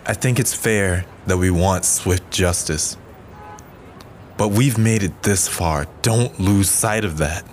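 An actor playing an earnest, calm man urging unity, speaking in a measured, sincere way in an audio drama. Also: sits low, slight background noise. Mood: earnest, resolute.

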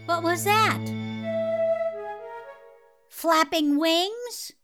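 A flighty fairy aunt in a fairytale audio drama. Her voice is very high and she takes her time, dithering and chattering giddily to herself. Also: very slow, a bit bright, faint music behind, full character voice. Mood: distracted, curious. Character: flighty, giggly fairy aunt who sneaks magic.

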